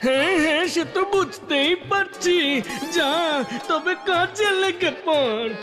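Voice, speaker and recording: A woman's voice: very high voice, slow. A woman voicing a flustered, blustering male god-king of the underworld in a comic cartoon, exclaiming and whining in repeats. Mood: resigned. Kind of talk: exaggerated comic cartoon acting. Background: music.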